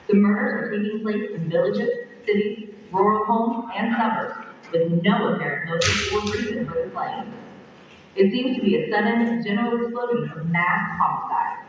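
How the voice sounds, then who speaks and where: An actor playing a grave emergency radio announcer who reads the bulletin in a steady, formal 1960s broadcast manner. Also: male voice, sits high, a little hiss or hum. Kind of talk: 1960s broadcast news delivery. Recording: dull, distant, echoey old film radio sound.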